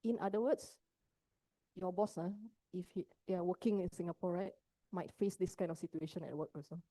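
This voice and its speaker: Woman psychologist, deep mellow tone, engaging workshop teacher who keeps checking in with her audience. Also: brisk, dry recording, low-quality recording. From Indonesia. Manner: engaging, checks in with listeners often. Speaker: psychologist giving a talk. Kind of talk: mental health workshop talk.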